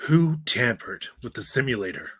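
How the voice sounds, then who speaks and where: A bright, forceful voice with a rough edge, for a stern mentor in a radio play, played by a man. He is authoritative and intense, commanding his team in a dry, close sound. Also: medium pitch, sing-song, moderate pace, no echo. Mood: angry. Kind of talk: live-read radio theatre acting.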